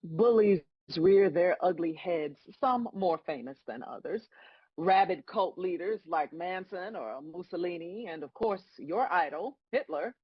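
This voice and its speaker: A woman playing God as a stern, composed judge, delivering measured, scolding lines in a dramatic script read. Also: lowish voice, lively intonation, slightly rough, dry recording, muffled recording. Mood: scornful.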